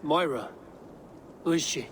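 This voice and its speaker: An actor playing a stern, principled Viking raider hero who speaks in a blunt, measured way in a video game. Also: male voice, on the high side, animated, a bit bright, a little hiss or hum. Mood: wry. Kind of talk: dramatic video game cutscene acting.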